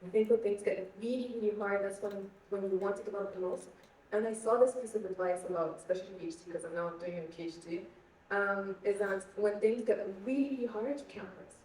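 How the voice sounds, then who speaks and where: Young woman from Egypt, earnest panel guest, mellow tone, distant mic in a reverberant hall. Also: mid-range voice, brisk, a little hiss or hum. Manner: earnest, repeats words while thinking. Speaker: guest speaker. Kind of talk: panel conversation.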